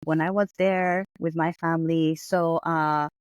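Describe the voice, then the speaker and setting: Japanese-accented woman, thoughtful and halting. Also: deep voice, steady tone, no room sound. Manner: thoughtful, many fillers and restarts. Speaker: therapist guest. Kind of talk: podcast interview.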